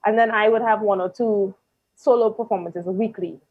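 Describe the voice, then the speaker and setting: A woman in her thirties from Trinidad and Tobago with a Trinidadian accent, telling her story in a relaxed interview chat with soft laughs and ums. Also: mid-range voice, normal speed, no echo, phone-quality sound. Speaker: guest musician.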